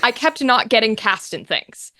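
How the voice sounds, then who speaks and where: A young woman chatting casually as an interview guest, telling her story in an animated, informal way. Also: on the high side, normal speed, no echo. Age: young adult. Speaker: guest, voice actor.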